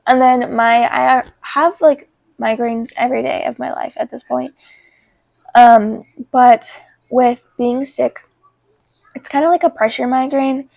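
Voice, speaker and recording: Congested young woman, high creaky voice. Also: fairly even intonation, darkish tone, dry recording. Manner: halting, informal, peppered with "like". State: sick with a cold, congested. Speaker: vlogger. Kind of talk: casual chat to camera.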